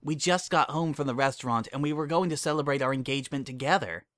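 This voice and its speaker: A gentle young female unicorn from a cartoon story, acted by a reader. She is patient and polite, a little hesitant, in a dry recording. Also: medium pitch, fast talker, no room sound, pitched above the performer's own voice, lightly acted. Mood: politely firm.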